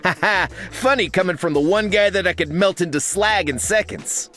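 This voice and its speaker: An actor playing a brash, cocky young fire-wielding hero in a video game, boastful and teasing. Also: male voice, high-pitched, normal speed, sharp tone, soft background music. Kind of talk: video game character voice lines.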